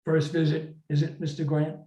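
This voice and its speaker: A man's voice: on the high side, monotone, moderate pace, dark tone, natural voice. A man voicing a reserved male psychiatrist who asks short, formal, noncommittal questions in a story reading. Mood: awkward, formal. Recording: roomy, slightly dull room recording.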